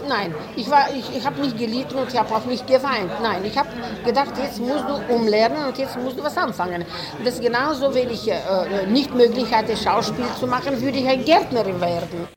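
A woman's voice: on the high side, a little fast, crowd noise. A woman being interviewed as a performer, answering earnestly and insistently.

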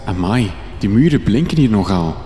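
A man plays a fussy young adventurer-reporter with a Flemish accent in a radio drama. His pitch moves around a lot, and the sound is cavernous, with clear ambient noise. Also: expressive, moderate pace, dark tone, lightly acted. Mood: fussy, curious. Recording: echoey cave reverb, noisy ambience.

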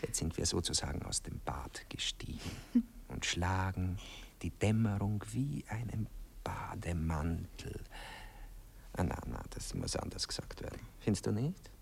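Vain poet-seducer, low voice, highly animated, cooing condescension, radio play. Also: male voice, a little slow, vocal fry, whispered, full character voice. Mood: dreamy. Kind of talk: German radio play acting.

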